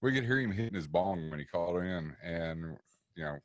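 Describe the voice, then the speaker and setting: A man's voice: sits low, animated, brisk, dry recording. A man, a guest on a podcast, telling a story in casual chat with laughs and swearing. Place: podcast studio around a table. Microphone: studio mic.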